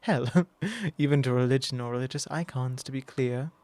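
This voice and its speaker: A man's voice: mid-range voice, normal speed, a little mellow, no room sound, close to an everyday voice. A man voicing an arrogant, possessive immortal vampire in an ASMR roleplay, talking down in a drawl and sometimes whispering close to the mic. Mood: mocking.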